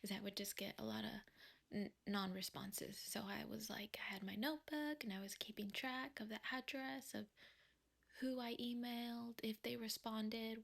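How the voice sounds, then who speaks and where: A young woman whispering softly in a slow, rambling way, chatting ASMR-style at home. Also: on the high side, gravelly. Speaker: vlogger. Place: quiet home, indoors.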